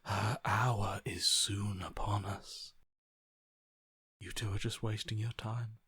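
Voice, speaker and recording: A defeated, submissive inner voice for a video game. He speaks slowly in a breathy half-whisper, pitched low and close in a dry recording. Every phrase sounds resigned and worshipful. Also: fairly even intonation, sharp tone, no room sound, full character voice. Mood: reverent, hopeless. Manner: slow, resigned, reverent. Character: broken, submissive male inner voice, devoted and defeated.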